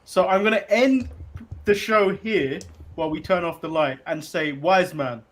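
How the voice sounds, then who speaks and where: A man's voice: a little high, a little slow. A man hosting a casual chat show, rambling and chuckling as he talks. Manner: rambling, chuckling, restarts often. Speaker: podcast host.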